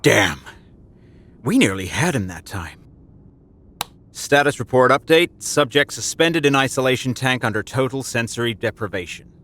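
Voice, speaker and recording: An actor playing a cold villainous doctor in an audio drama, detached and matter-of-fact. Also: male voice, mid-range voice, expressive, moderate pace, noisy background, natural voice. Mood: clinical, detached. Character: cold clinical male doctor, calm torturer.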